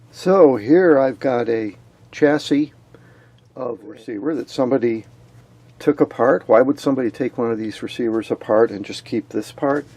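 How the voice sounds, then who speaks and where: A man's voice: mid-range voice, creaky, fairly bright tone. An older man presenting as a radio hobbyist, giving an informal, methodical technical walkthrough in an explanatory way.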